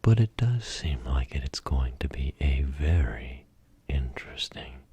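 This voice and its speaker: A man playing a grumpy, wise fortune teller in an ASMR role play, whispering in a slow, theatrical, formal way. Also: rumbling low, big pitch swings, gravelly, mellow, slightly rough, heavily acted. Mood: solemn, soothing. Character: grumpy wise male arcade fortune teller, Great Tingdinee. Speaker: ASMR performer.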